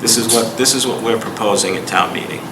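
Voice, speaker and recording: A man with a lowish voice chairs a town planning board hearing and answers residents in short, firm replies. An echoey room carries steady background noise. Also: quick pace. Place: town hall meeting room.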